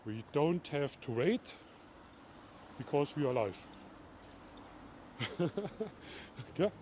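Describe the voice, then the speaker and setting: A middle-aged man from Bavaria with a German accent, chatting casually while walking outdoors and talking to viewers in a live video, moving between German and halting English. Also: fairly high pitch, animated, dark tone, slight background noise. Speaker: livestream vlogger. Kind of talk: casual live walk-and-talk. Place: outdoors in a town at night.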